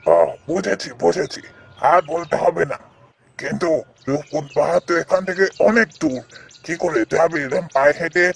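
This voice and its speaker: Man as a creaky, kindly talking banana tree. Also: a little high, lively intonation, moderate pace, slight background noise. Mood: grateful, helpful. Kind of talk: cartoon fairy-tale acting.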